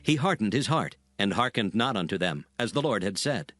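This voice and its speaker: A man narrating scripture in a measured, steady read. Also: fairly low pitch, no room sound. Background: music.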